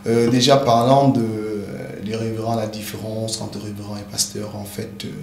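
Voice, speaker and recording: Male pastor guest, native English speaker, lowish voice, earnest and hesitant, echoey noisy room. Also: little pitch movement, normal speed, creaky. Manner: earnest, many hesitant fillers. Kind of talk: explanatory interview answers. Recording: echoey room with background noise.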